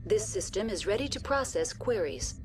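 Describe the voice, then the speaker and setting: A woman's voice: mid-range voice, lively intonation, normal speed, music in the background, lightly acted. A woman voicing a polite, unemotional female computer intelligence in a video game, speaking in formal, procedural system announcements. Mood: calm, impassive. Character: polite, unemotional female AI running a research station. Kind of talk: scripted video game dialogue.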